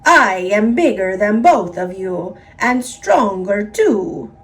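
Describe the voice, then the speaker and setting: A woman voicing a proud, boastful male vampire in a children's storybook, with pompous, drawn-out delivery. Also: mid-range voice, very animated, recorded from a distance.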